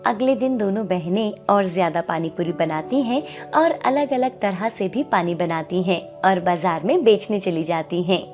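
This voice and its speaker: A woman's voice: mid-range voice, a little slow, few pauses, darkish tone, faint music behind. A woman reading scripted narration for a moral story as its storyteller.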